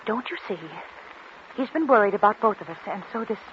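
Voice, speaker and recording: An actress playing a fragile, desperate concert pianist in a breathless, insistent 1940s radio style. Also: female voice, mid-range voice, animated, fairly quick, close to an everyday voice. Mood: earnest, hushed. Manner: breathless, insistent, repeating herself. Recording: muffled, noisy 1940s radio recording.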